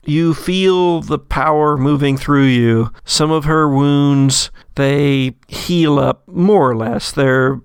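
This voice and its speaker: A man narrates a tabletop horror game at a very slow pace. His voice is clear with a gravelly edge, and he sets scenes with deliberate pauses, recorded close and dry. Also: medium pitch, no room sound. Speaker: game master.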